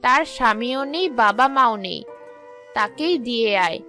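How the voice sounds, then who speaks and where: Woman playing a sensible, slow-speaking village mother. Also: a little high, lively intonation, bright, forceful tone, soft background music, exaggerated voice. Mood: advising. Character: practical, sensible village mother in a moral story.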